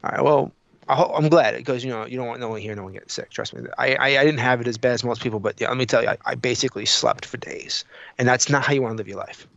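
A man's voice: medium pitch, quick pace, creaky, a bit bright, no room sound, dull audio. A man co-hosting a podcast, chatting casually about his opinions in a blunt, emphatic, profane way. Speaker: podcast co-host.

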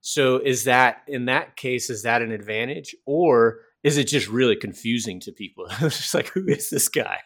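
A man's voice: medium pitch, normal speed, hardly any pauses, fairly bright tone. Musing man hosting a podcast. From North Carolina, United States. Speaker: podcast host. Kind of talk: casual interview chat.

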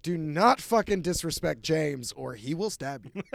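A man's voice: on the high side, lively intonation, measured pace, gravelly, no room sound. A man from Alabama, a podcast guest, talking bluntly and sardonically in a rambling way. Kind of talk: casual comedic chat.

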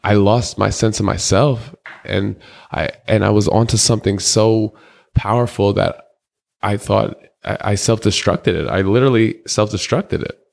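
Deep gravelly-voiced man telling stories. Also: fairly even intonation, normal speed, darkish tone. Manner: loose, slangy, rambling storytelling. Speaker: rapper and interview guest. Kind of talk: casual candid interview talk.

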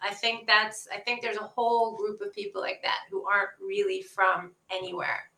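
A woman's voice: medium pitch, steady tone, normal speed, pushed and bright. A woman from Canada, a guest author on a podcast, chatting casually over a video call from a bomb shelter and laughing often. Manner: chatty, laughs often, imitates others' voices. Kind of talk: casual conversation. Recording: echoey room.

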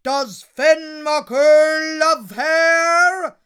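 Woman doing a booming giant man. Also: extremely slow, exaggerated voice. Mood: menacing. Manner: loud booming. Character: huge booming male Scottish giant.

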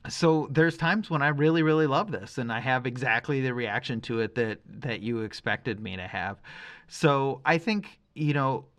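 A middle-aged man with a medium-pitched voice talks as a podcast host. He rambles and thinks aloud, leaning hard on his opinions in loose, casual conversation. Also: moderate pace, fairly bright tone. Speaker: podcast host, music reviewer.

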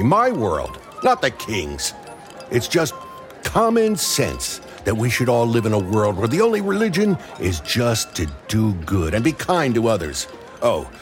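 A man doing a low-voiced fiery pamphleteer. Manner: starts halting, builds to impassioned. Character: hesitant but fiery male pamphleteer.

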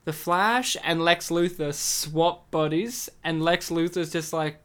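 A man's voice: a little high, slow, a bit bright, clean tone. A man co-hosting a casual film commentary podcast, rambling and joking between his points. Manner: jokey, rambling, slips into silly impressions. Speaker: podcast co-host.

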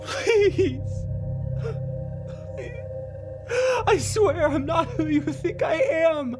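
A man's voice: very high-pitched, slow, music in the background. A man acting in an audio horror drama as a panicked, belligerent, cornered man who stammers. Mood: pleading.

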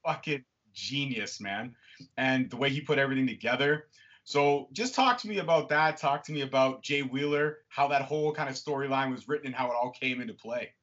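A man's voice: medium pitch, fairly quick, fairly bright tone. Casual, enthusiastic young male podcast host. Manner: enthusiastic, casual, swears freely. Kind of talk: casual interview chat. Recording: slightly dull lo-fi audio.

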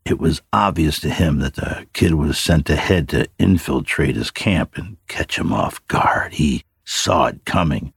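A man reading scripted dramatic fiction as a narrator, in a measured, brooding manner. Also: extremely low pitch, vocal fry, slightly rough, no echo, clean studio sound. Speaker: story narrator.

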